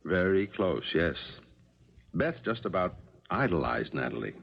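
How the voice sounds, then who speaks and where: An actor playing a gentle, placating middle-aged husband and father in a 1970s radio drama, earnest and coaxing. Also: low voice, sing-song, a little slow, gravelly, slightly rough, exaggerated voice. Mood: reflective. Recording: muffled old radio recording.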